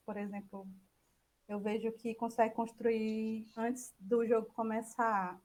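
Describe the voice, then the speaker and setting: A woman's voice: fairly high pitch, a little mellow, low-quality recording. A Brazilian woman chatting casually in an online question session, informal, self-effacing and a little hesitant. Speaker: speaker giving an online talk.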